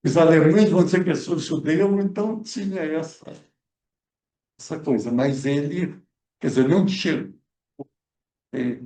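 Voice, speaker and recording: A man's voice: on the high side, warm tone. A man, a guest researcher explaining history at a film club debate, hesitant with many fillers and restarts. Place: event room at a cultural center. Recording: echoey room, speaker far from mic.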